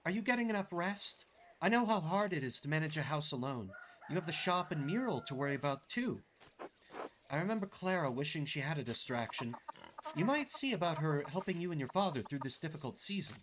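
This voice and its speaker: A man's voice: on the high side, very animated, brisk, pitched above the performer's own voice. A man voicing a kindly, concerned villager in game dialogue, solicitous and a little hesitant. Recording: clearly noisy background.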